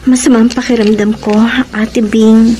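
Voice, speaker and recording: A woman's voice: on the high side, level tone, normal speed, mellow, natural voice, rough recording. A woman playing a lovelorn, troubled young woman in a Filipino radio drama, speaking plaintively. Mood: sad, subdued. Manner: plaintive, emotional.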